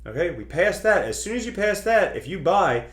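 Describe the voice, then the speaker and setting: A man's voice: sits high, very animated, moderate pace. A man hosting an online video, explaining things to viewers in a chatty, colloquial, emphatic way. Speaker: host of a cryptocurrency trading video channel. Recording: roomy, echoing sound.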